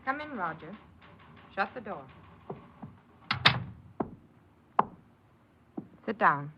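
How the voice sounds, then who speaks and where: An actress playing a stern, disappointed woman supervisor in a 1950s radio drama, with a husky voice. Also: medium pitch, big pitch swings, moderate pace, a little hiss or hum. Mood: grave. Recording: muffled 1950s radio recording.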